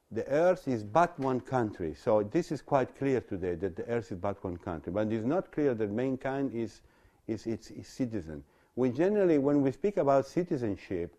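Dark-voiced Italian man giving a talk. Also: mid-range voice, sing-song. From Italy. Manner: halting, repetitive phrasing with rhetorical questions. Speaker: religious lecturer. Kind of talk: explanatory talk to an audience.

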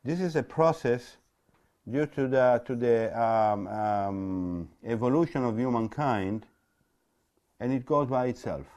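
A man with an Italian accent and native Italian, giving an explanatory lecture in a halting, repetitive way with rhetorical questions. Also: medium pitch, animated, darkish tone. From Italy. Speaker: religious lecturer. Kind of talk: explanatory talk to an audience.

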